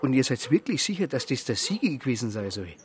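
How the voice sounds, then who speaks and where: A man's voice: mid-range voice, big pitch swings, quick pace, close to an everyday voice. An actor playing a blunt, sceptical patrol policeman in a radio drama, with a Bavarian accent. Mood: incredulous. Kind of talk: naturalistic radio drama dialogue.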